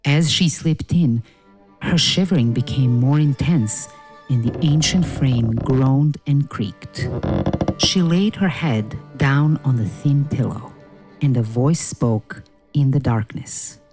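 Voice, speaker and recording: Female narrator for a dark fairy-tale audio play: slow, deliberate delivery over soft music. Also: extremely low pitch. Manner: measured, deliberate storytelling.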